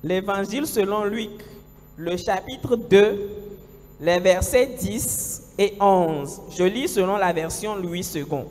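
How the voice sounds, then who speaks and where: High-voiced preacher in a hall. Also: male voice, takes it slowly, a little mellow. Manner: emphatic preaching, rising to exclamations. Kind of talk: sermon delivered in two languages, line by line. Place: large church hall. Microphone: handheld microphone. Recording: long church hall reverb.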